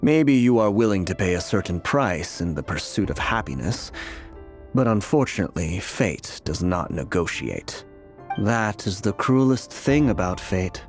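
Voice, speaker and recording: An actor playing a cryptic, fatalistic male seer in a fantasy video game, with a measured, formal and unsettling manner. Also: low-pitched, big pitch swings, soft background music, full character voice. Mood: cold, fatalistic. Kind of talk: dramatic video game acting.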